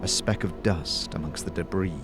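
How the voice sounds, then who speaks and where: A man giving measured, dramatic scripted narration as the storyteller of a fantasy audio drama. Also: sits low. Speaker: narrator and game master. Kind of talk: scripted second-person fantasy narration and character dialogue. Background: music.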